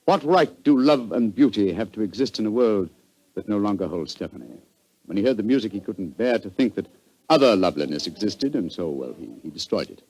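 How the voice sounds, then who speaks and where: Brisk, animated English detective. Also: male voice, on the low side, poor audio. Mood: reflective, explanatory. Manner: crisp, formal, theatrical delivery. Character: brisk, courteous, commanding male detective, incisive and self-assured. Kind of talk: 1940s radio drama acting.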